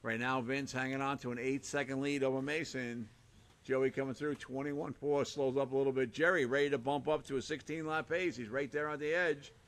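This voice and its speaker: A man calling a live RC car race with a hoarse, fading voice, rattling off names and lap times in short bursts. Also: medium pitch, steady tone, brisk. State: hoarse, losing his voice. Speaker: race announcer.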